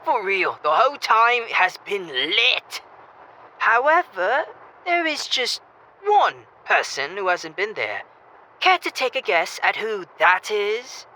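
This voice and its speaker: A man's voice: sits high, big pitch swings, slow, sharp tone, exaggerated voice, low-quality recording. A young man playing a cocky, boisterous student wingman in an audio drama, brash, teasing and pushy. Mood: brash, persuasive.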